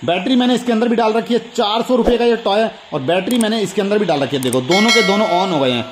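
A man's voice: high voice, expressive, quick pace, slight background noise. An Indian man hosting a toy review, enthusiastically showing items and repeatedly addressing his viewers. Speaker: toy review channel host. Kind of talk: excited toy unboxing commentary.

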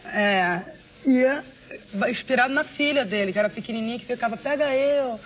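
A man casually explaining the story behind a song, relaxed and chatty. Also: extremely high pitch, normal speed. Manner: relaxed, explanatory, frequent tags like "entendeu". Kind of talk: casual chat about a song. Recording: dull lo-fi TV broadcast with faint hiss.

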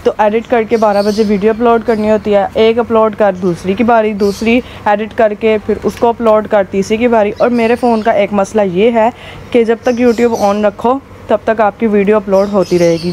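A woman with a hoarse throat chats casually to camera as a vlogger, rambling with many fillers while filming on a rooftop. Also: medium pitch, little pitch movement, moderate pace, dark tone, slight background noise. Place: rooftop outdoors.

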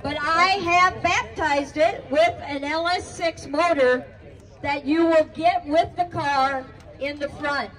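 A woman presenting a car at an outdoor estate sale auction, speaking haltingly with many fillers. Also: high voice, fairly bright tone. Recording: distant voice with crowd noise.

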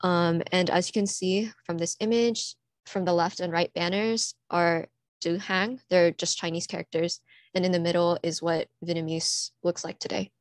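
Teenage girl, from california, low-ish voice, dry close sound, earnest slide talk. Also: steady tone, no room sound. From California, USA. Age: teens. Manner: earnest, reads from slides with small pauses. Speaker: student presenter. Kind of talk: prepared conference talk. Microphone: computer mic, online conference.

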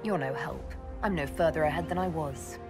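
A woman's voice: low voice, moderate pace, music in the background, natural voice. A woman playing a young elf mage in a video game, composed, direct and probing. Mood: blunt. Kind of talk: video game cutscene acting.

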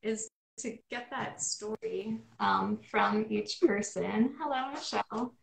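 A woman's voice: mid-range voice, takes it slowly, creaky. A young woman, casually co-hosting an interview on a video call from a small room. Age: young adult. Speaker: interview co-host. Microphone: shared device at arm's length. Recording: roomy, echoing video call.

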